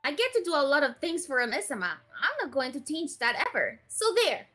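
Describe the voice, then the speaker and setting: A woman acts out a bubbly young anime mage from a visual novel, high and bright, with a very lively swing. She is clingy and stubborn, pouting one moment and playful the next, with music playing behind her. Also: brisk, full character voice. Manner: playful, pouty, excitable. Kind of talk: voicing a visual novel character live.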